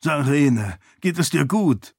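A man's voice: a little high, animated, takes it slowly, husky, dry recording, studio quality, voice pushed up, exaggerated voice. A man voicing a protective, headstrong king and father in a fantasy audiobook. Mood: anxious relief.

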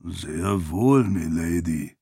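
A man's voice: low-pitched, fairly even intonation, slow pace, husky, no room sound, studio quality, pitched below the performer's own voice, exaggerated voice. A man voicing a loyal, formal servant spirit of light in a fantasy audiobook. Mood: deferential.

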